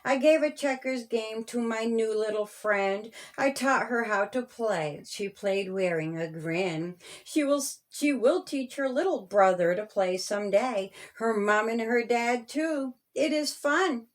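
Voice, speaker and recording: Woman reading a kids storybook, really slow, kinda high voice, sing-song. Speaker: author reading own story. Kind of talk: children's storybook narration.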